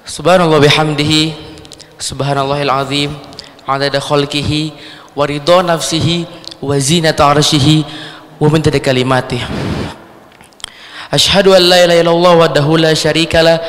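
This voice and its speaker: Teen guy starting a little sermon, higher voice, reverby hall. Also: steady tone, normal speed, pauses often, fairly bright tone. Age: teens. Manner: reciting Arabic opening prayers. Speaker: young preacher. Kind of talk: short sermon opening.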